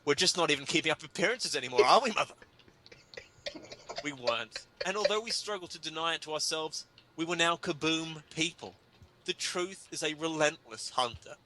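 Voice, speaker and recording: A man's voice: on the high side, lively intonation, normal speed, bright, forceful tone, poor audio. A man giving a theatrical, pompous dramatic reading of comic prose in a mock-grave manner. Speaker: reader of a humorous article.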